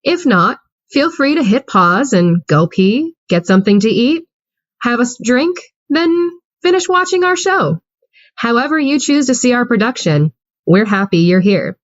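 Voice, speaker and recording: A woman's voice: on the high side, animated, normal speed, dry recording, dull audio. A woman giving a wry, scripted introduction to an amateur radio play over a video call. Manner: dry, wry. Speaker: narrator and stage manager.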